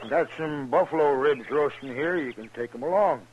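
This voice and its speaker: A man's voice: on the high side, normal speed, natural voice. A man playing a hospitable frontier buffalo hunter in an old radio western, folksy and obliging. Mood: friendly, easygoing. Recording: narrow, faintly noisy old radio recording.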